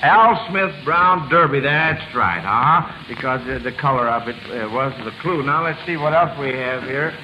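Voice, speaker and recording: A man's voice: medium pitch, moderate pace, few pauses, highs cut off. A man hosting an old-time radio quiz in a chuckling, fumbling, schoolmasterly way. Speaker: quiz show host. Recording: noisy, crackling old radio broadcast. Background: steady loud hiss and crackle.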